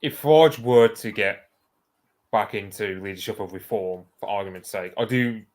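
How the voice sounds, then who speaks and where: A man's voice: on the low side, normal speed, darkish tone. A man in a casual online political chat, speaking hesitantly with restarts.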